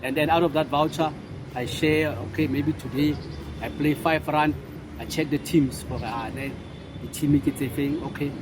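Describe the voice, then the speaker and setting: A man's voice: fairly high pitch, fairly even intonation, normal speed, background noise. A South African man in a casual street interview, chatting freely and mixing Sesotho with English.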